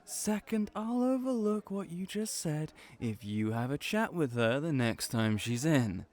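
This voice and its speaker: A man's voice: a little high, sing-song, unhurried, a little mellow. A man playing a teasing young ram hybrid who works in a cafe, with playful, needling banter in an audio roleplay. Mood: goading.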